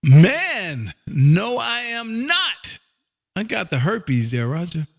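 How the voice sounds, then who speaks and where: An exuberant, folksy American man with a gravelly voice, chatting casually on air as a ham radio host and repeating his catchphrases. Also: high voice, wildly swooping pitch, slow pace. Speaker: amateur radio operator and livestream host.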